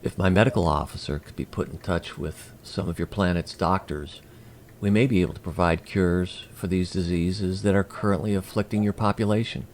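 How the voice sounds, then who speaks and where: A man playing a polite, curious alien emissary in an audio drama, with a gravelly voice and formal, measured, precise speech. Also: deep voice, steady tone, darkish tone, a little hiss or hum. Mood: earnest, measured.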